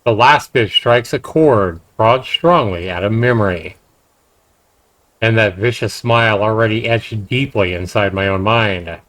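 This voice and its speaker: A man's voice: lowish voice, measured pace, fairly bright tone, dry recording, rough recording. A man hosting a game livestream, reading narration aloud and dropping in casual comments. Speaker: livestream host.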